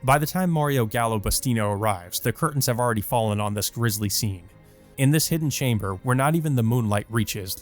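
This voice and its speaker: A man hosting a let's play, reading a visual novel aloud and chatting about it in a casual, rambling way full of fillers. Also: mid-range voice. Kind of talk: visual novel read-through with commentary.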